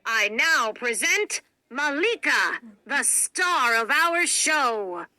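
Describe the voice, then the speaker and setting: A woman acts out a storybook circus owner, a man of formal, earnest manner. He speaks slowly and deliberately, with a bright, forceful tone in a dry, close recording. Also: high voice, very animated, dry recording, heavily acted. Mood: showy, booming. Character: circus owner, a man, showy announcer turned nervous and solemn.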